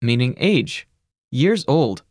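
A narrator giving measured, scripted instructions in a language course. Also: medium pitch, no echo, clean studio sound. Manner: even, measured teacherly instructions.

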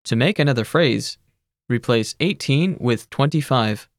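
A narrator giving measured, scripted instructions in a language course. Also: mid-range voice, no echo, clean studio sound. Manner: even, measured teacherly instructions.

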